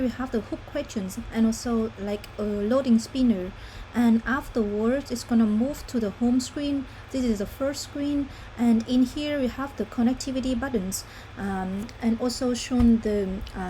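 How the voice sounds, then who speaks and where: A young woman from Vietnam, walking through a demo of her student app in a careful, halting way with many fillers. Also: a little high, mellow, a little hiss or hum, poor audio. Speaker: student intern presenting an app. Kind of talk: screen-recorded app demo walkthrough.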